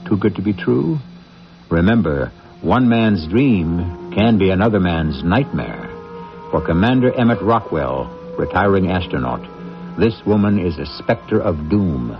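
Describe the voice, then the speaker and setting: A man performing as an ominous, theatrical mystery host, narrating a radio drama with deliberate phrasing on an old recording. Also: low-pitched, animated. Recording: dull 1980s radio recording, faint music.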